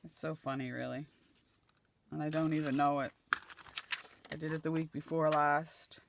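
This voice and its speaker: Chatty middle-aged woman, slightly croaky voice. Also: deep voice, fairly even intonation, normal speed, noisy background. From Nova Scotia, Canada. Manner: chatty, thinking aloud while gluing collage pieces. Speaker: craft channel host. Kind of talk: casual crafting chat.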